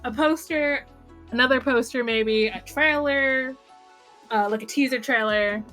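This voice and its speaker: A woman's voice: sits high, measured pace, a bit bright. A woman hosting a casual podcast, talking in a rambling, informal way with lots of "like". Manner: rambling, heavy use of "like". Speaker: podcast host. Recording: music behind the voice.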